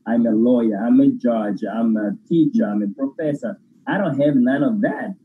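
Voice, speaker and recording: A man's voice: medium pitch, moderate pace, slight background noise. Angolan man on a tinny phone line. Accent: Angolan Portuguese. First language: Portuguese. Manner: effusive, grateful, laughs while talking. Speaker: guest. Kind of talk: casual interview chat.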